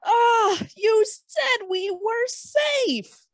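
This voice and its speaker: A performer voicing a small, innocent fantasy creature with a squeaky, naive delivery in an animated table read. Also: drawn-out words, dry recording, full character voice. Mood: alarmed.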